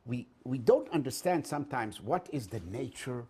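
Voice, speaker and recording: A middle-aged man with an Israeli accent, a guest speaker telling anecdotes to an audience in a lively, swinging way. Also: moderate pace, husky. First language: Hebrew. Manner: lively storytelling, big swings in pitch and loudness, laughs at own jokes. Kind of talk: animated public talk with anecdotes. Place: event hall in front of an audience.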